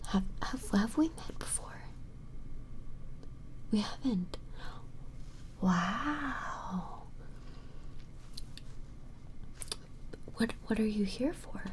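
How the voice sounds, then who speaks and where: A woman voicing a flustered, smitten vampire in an ASMR roleplay, whispering hesitantly and apologetically in a breathy voice. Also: fairly high pitch, expressive, low-quality recording. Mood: smitten, taken aback. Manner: hesitant, apologetic, halting, softly attentive. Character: flustered, smitten female vampire measuring a strange creature. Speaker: ASMR performer.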